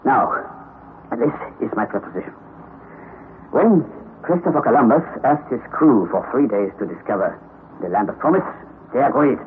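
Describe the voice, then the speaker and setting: An actor playing a stubborn, grandiose elderly professor-explorer, declaiming in a vintage radio drama. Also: male voice, mid-range voice, lively intonation, moderate pace, long pauses, lightly acted. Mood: commanding. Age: older. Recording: tinny old radio broadcast, faint hiss.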